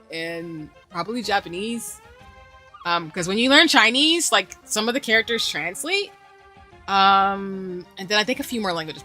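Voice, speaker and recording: A woman's voice: medium pitch, sharp tone. A young adult woman streaming a game, rambling casually to her viewers with lots of asides and fillers. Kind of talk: casual chat with stream viewers while gaming.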